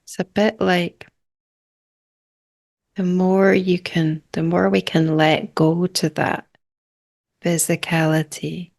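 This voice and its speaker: Female meditation guide: very slow, even delivery, calm, short phrases, generous pauses. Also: lowish voice, creaky. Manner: calm, unhurried, hushed phrases with long pauses.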